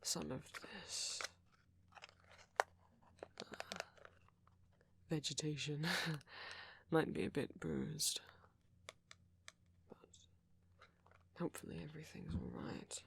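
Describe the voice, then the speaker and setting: A man acting a friendly, flirty, apologetic vampire in a soft ASMR roleplay, gentle and hesitant, with a husky voice that often drops toward a whisper. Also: a little high, very animated, takes it slowly, pauses often, heavily acted. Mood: concerned, gentle. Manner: gentle, hesitant, teasing, with soft chuckles and endearments.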